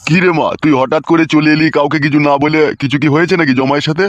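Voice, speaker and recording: A man plays a crude, grumbling old village father in a comedy cartoon, a slightly high voice. He talks quickly, and the recording is dull and lo-fi. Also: steady tone, no room sound, lightly acted. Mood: curious. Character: grumpy, suspicious, foul-mouthed old village father.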